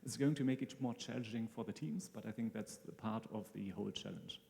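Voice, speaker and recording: A man speaking as a guest expert, explaining technical things in a measured way in a live interview. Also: lowish voice, gravelly, warm tone, a little hiss or hum. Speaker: brain-computer interface researcher, guest expert. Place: large hall at a live event. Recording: hall reverb, faint music behind.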